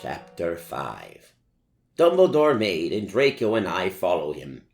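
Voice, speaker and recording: Dramatic, gravelly man narrating slowly. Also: low-pitched, sing-song, soft background music. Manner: dramatic, deliberate, savouring each phrase. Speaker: story reader. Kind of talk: theatrical scripted narration, old horror-film impression. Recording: roomy, echoing voice recording.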